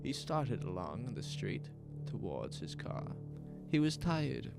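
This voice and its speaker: A man narrating a scripted horror audio drama in a deliberate, suspenseful storytelling manner. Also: medium pitch, very animated, gravelly. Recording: music behind the voice. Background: music.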